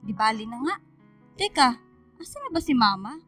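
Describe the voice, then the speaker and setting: Woman playing a pouty teenage girl, high sharp voice, Filipino accent, argumentative, radio drama, faint music. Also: sing-song, moderate pace. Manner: whiny, argumentative. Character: headstrong, pouty teenage daughter, fifteen to eighteen. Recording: music bed underneath.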